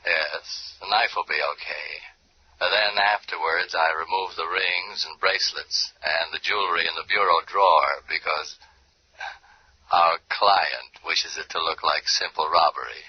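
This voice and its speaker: An actor playing a calm, businesslike hired killer in a 1940s radio play. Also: male voice, low-pitched, a little slow, a little hiss or hum. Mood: menacing. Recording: dull old radio broadcast.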